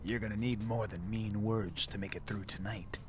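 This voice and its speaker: An actor playing a menacing male vampire gang brute in a video game, with a mocking, drawn-out delivery that slips toward whispers. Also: on the low side, steady tone, music in the background, close to an everyday voice. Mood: sneering, threatening.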